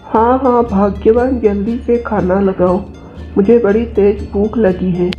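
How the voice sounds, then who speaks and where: A woman's voice: mid-range voice, steady tone, measured pace, muffled recording, soft background music, lightly acted. A woman voicing a humble, hardworking poor village farmer in a cartoon story, deferential and polite. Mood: cheerful.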